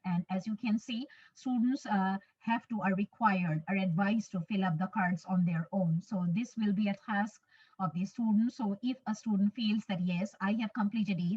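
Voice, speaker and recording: A woman from Bangladesh with a Bangladeshi English accent, a native Bangla speaker, giving a measured conference webinar talk as an English teacher and repeating phrases for emphasis. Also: medium pitch, darkish tone, husky. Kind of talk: webinar presentation. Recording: distant, muffled lo-fi mic.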